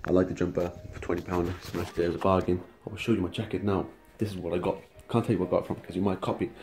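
A man's voice: low voice, level tone, fast, a little mellow, echoey room. Cheeky young lad vlogging from his kitchen. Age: young adult. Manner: cheeky, joking slang banter. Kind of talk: casual vlog to camera. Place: home kitchen indoors. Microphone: phone camera held at arm's length.

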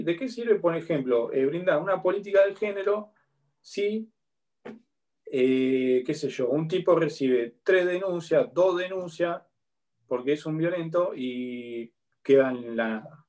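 An Argentine man in his thirties lectures from a home room with a bookshelf, speaking into a laptop webcam. His voice sits slightly high, and his delivery is explanatory. He keeps pausing to check in with his students. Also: darkish tone. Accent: Argentine Spanish. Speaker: law lecturer. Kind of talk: online university lecture. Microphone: laptop webcam on a video call.

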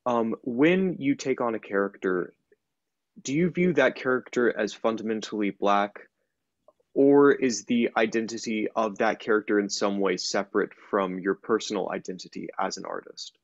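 A man in his twenties asking careful, formally worded interview questions in a halting way over a video call. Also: fairly low pitch, no room sound, lo-fi sound. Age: 20s. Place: home room indoors. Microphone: computer mic on video call.

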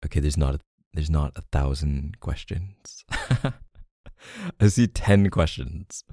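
Man voicing a playful doting boyfriend, ASMR roleplay, dark mellow soft voice, teasing murmurs, close dry sound. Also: animated, dry recording, heavily acted. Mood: playful, focused. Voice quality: low, gravelly, soft. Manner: teasing, murmuring, hesitant pauses and hums. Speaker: audio roleplay performer.

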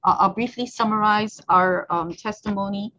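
A woman in her fifties, an agency head, giving measured formal testimony over a video call from her office. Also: medium pitch, fairly even intonation, sharp tone. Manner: measured, summarizing a prepared statement. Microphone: earbud headset on a video call. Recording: slightly dull video-call audio with faint background noise.

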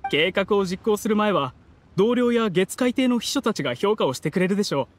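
An actor playing a polite, earnest young candidate in a Japanese video game, speaking formally and articulately. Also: male voice, high-pitched, expressive, fairly quick.

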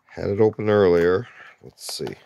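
A man's voice: low-pitched, moderate pace, gravelly, warm tone, no room sound, rough recording. A man hosting a show-and-tell video, narrating casually and hesitantly with many filler words while handling an object at a table indoors. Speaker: host of a show-and-tell channel.

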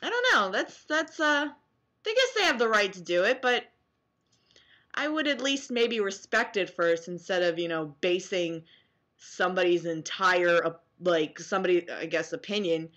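A young American woman reacting casually to comments she reads aloud, sarcastic and animated, at home on a webcam mic. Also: medium pitch, moderate pace, dull audio. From New Jersey, US. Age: young adult. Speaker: vlogger. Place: small room at home, indoors.

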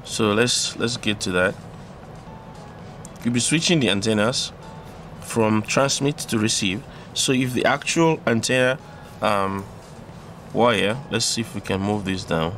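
A man presenting an unscripted electronics tutorial, explaining step by step in a halting way. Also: on the low side, fairly bright tone, soft background music. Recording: clearly audible background noise.

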